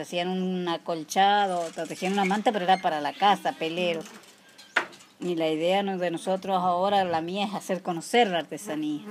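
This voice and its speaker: A woman in her forties with a rural Mendoza Argentine accent, answering informal interview questions in a plain, somewhat hesitant way. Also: lowish voice. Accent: rural Argentine Spanish from Mendoza. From rural Mendoza province, Argentina. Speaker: interviewee, artisan weaver. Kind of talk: informal oral history interview. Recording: clearly noisy background with clicks.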